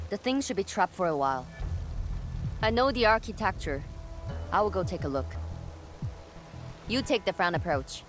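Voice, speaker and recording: A woman's voice: on the low side, close to an everyday voice. A woman playing a curt, aloof, duty-minded warrior in a video game, speaking in a cool, blunt, businesslike way. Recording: loud game music behind the voice.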